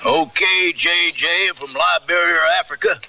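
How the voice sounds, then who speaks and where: An actor playing a friendly, folksy American cowboy with a breezy manner in an Old West radio drama. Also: male voice, on the high side, a little slow, bright, forceful tone. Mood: hearty, welcoming. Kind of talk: 1970s radio drama acting. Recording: noisy vintage radio recording.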